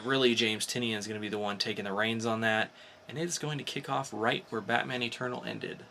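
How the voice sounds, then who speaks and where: A man's voice: mid-range voice, pushed and bright, a little hiss or hum. A man co-hosting a podcast, chatting casually and enthusiastically about entertainment news in an informal fan's way. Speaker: podcast co-host.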